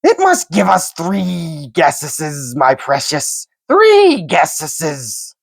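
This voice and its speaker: A slimy, wretched cave creature with a hissing, sibilant voice pitched high and swooping wildly. He whines and wheedles, drawing out his words. The sound is close and dry. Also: huge pitch swings, extremely slow, creaky, breathy edge, no echo, heavily acted. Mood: whining, upset. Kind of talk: audiobook character voice.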